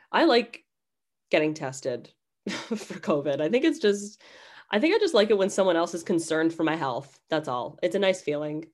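A young woman with a low voice opens her podcast in a casual, rambling way. She sounds slightly nasal from a cold and talks with self-deprecating chattiness. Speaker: podcast host. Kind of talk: casual solo podcast intro.

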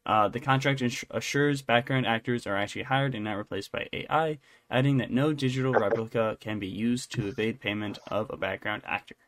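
A man's voice: medium pitch, a little fast, few pauses, fairly bright tone, no echo. A man co-hosting a podcast, chatting casually about entertainment news in a rambling, jokey, self-interrupting way. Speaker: podcast co-host.

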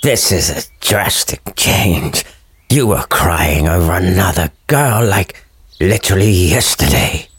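A man voicing a blunt, weary old friar in a storybook retelling, scolding slowly and crudely. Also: deep voice, lively intonation, slightly rough, dry recording, full character voice.

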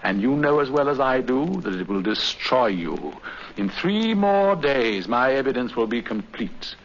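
An actor playing a coolly confident master detective with a refined English accent, urbane and dryly ironic, in a 1950s radio drama. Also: male voice, mid-range voice, sing-song, measured pace. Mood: steely resolve. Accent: English, Received Pronunciation. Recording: muffled, faintly hissy 1950s radio recording.

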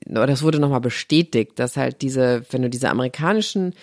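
A woman's voice: a bass voice, moderate pace, a little mellow, dry recording, studio quality. Earnest middle-aged woman explaining at length. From Germany. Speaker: guest, founder of a health platform. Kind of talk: podcast conversation.

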